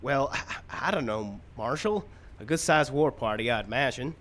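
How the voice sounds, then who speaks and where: A man's voice: mid-range voice, expressive, normal speed, a bit bright, close to an everyday voice. An actor playing a jittery, self-important townsman in a live old time radio western. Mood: flustered.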